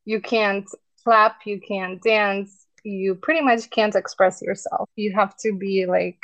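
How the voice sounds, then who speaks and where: A woman's voice: medium pitch, no echo, muffled recording. A woman whose first language is Russian, from Latvia and raised in the United States, talking frankly and with plenty of laughter as a podcast guest. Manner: frank, animated and quick to laugh. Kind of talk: casual podcast chat.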